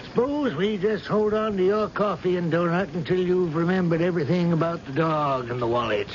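An actor playing a gruff, sardonic police chief who presses a suspect in a radio drama. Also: male voice, high voice, brisk, lightly acted. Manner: insistent, sardonic, folksy interrogator. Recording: dull, noisy old radio recording.

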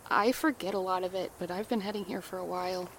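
An actress playing a wounded wife who has lost her memory, hesitant and halting and often hushed, in a horror audio drama. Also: lowish voice, steady tone, slight background noise, lightly acted. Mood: wary. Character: wounded amnesiac wife, wary and urgent. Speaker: character.

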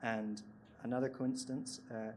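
A Scottish man talks about his writing on a live panel at an event. His pitch sits in the middle and he speaks in a relaxed way with small pauses. A faint room hum runs underneath. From Scotland. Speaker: panellist screenwriter. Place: event venue, live audience.

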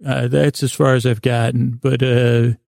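A man hosting a sleep podcast, meandering through a halting, self-correcting bedtime monologue in a creaky voice. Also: mid-range voice, flat delivery, a little mellow, no room sound, clean studio sound.

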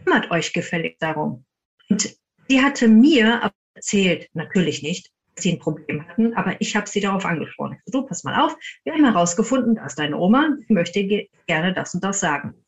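A woman's voice: medium pitch, big pitch swings, brisk. A woman interviewed as a ghost hunter, telling anecdotes conversationally and acting out the quoted dialogue. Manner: acts out quoted dialogue while recounting.

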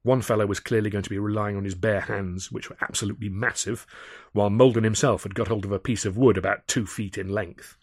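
A man reading a scripted first-person comic adventure, wry and expressive as a storyteller. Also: on the low side, quick pace, no room sound, clean studio sound. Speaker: author narrating own audiobook.

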